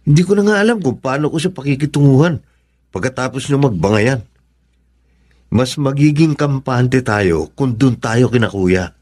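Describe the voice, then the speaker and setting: Husky, uneasy Filipino man actor. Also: mid-range voice, normal speed, darkish tone, lightly acted. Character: uneasy man urging a move to relatives. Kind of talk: Filipino radio drama acting.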